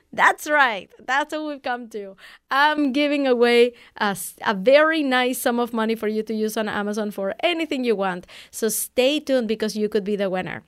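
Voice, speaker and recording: A woman from Venezuela, a native Spanish speaker, with a Venezuelan accent, hosting her own coaching podcast. Her voice sits a bit high, and she talks in an energetic, chatty way with quick laughs. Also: smooth. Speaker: podcast host and online business coach. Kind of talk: solo podcast monologue.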